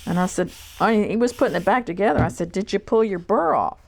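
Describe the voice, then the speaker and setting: A woman teaching shear sharpening, talking in a relaxed way and thinking aloud as she works in her workshop. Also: mid-range voice, brisk, gravelly. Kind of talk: hands-on demonstration talk.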